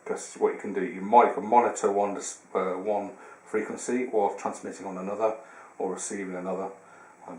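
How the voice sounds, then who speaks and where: A man's voice: lowish voice, mellow. A man giving an informal hands-on product tutorial, explaining step by step in a slightly hesitant way. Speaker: product demonstrator. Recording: roomy echo with background hiss.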